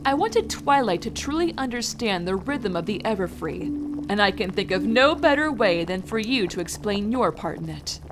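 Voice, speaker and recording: Regal pony princess and patient mentor voiced by a woman, stately yet very lively intonation, music behind. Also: medium pitch, normal speed, lightly acted. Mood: patient teaching. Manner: stately, explanatory. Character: regal pony princess, kindly amused teacher. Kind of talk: dramatic fan fiction audio reading.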